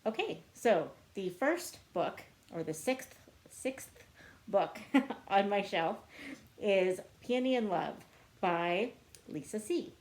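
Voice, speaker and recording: A woman's voice: mid-range voice, expressive, slow pace, darkish tone. A woman book vlogger chatting casually to camera in a chatty, self-deprecating way. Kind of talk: casual chat to camera answering book tag questions.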